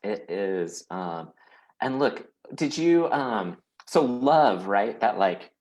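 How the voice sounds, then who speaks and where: A man's voice: on the low side, lively intonation, slow pace, darkish tone. A man hosting a casual online interview show. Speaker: host of a weekly chat show.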